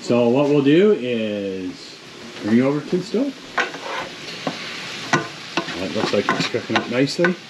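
A man's voice: mid-range voice, very animated, a little slow, pauses often, very noisy. A middle-aged man hosting a casual home cooking vlog, chatting through the steps and talking to viewers as folks. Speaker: cooking show host. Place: home kitchen and dining room, indoors. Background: cutlery and dishes clinking.

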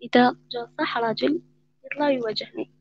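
A woman's voice: a little high, steady tone, a little fast, no room sound. A woman calling into a live stream, speaking defiantly. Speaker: caller. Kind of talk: casual call-in chat. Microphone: phone or video call. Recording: faint breathy lo-fi call audio.

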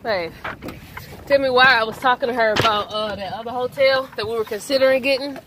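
A young woman truck driver chatting casually to her vlog camera, animated and talkative. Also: on the high side, a little fast. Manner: chatty, animated, addresses viewers as y'all. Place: hotel and truck cab. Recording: background noise.

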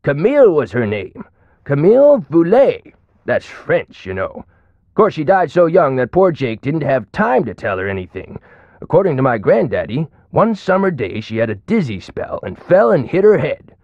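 A man's voice: fairly high pitch, wildly swooping pitch, moderate pace, dry recording, highs cut off, heavily acted. An actor playing a folksy, chatty old man in his nineties with an American accent, rambling through stories in a video game. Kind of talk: video game character acting.

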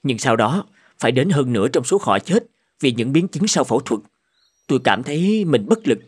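A man voicing troubled, thoughtful doctors and professors in a cartoon drama, formal and measured. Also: mid-range voice, very animated, a little mellow, breathy edge. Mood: earnest, concerned. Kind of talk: animated cartoon drama dubbing.

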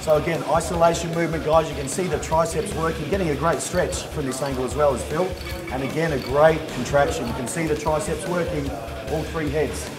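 A man's voice: on the high side, fairly even intonation, brisk. A man in his thirties with an Australian accent, hosting an instructional fitness interview in a gym. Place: busy indoor gym. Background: music.

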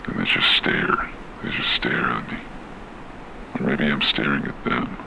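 An actor playing a disoriented, haunted man in a horror game monologue, speaking in a halting, brooding way with dreamy, fatalistic surrender. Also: lively intonation, noisy background, exaggerated voice. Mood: confused, uneasy. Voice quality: gravelly, very deep. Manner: halting, hesitant, brooding delivery with trailing repetitions.

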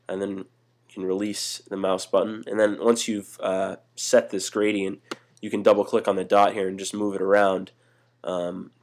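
A young man casually talking through photo editing steps in a screen-recorded tutorial, relaxed and full of "uh" fillers. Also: fairly low pitch. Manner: relaxed, many "uh" fillers and restarts.

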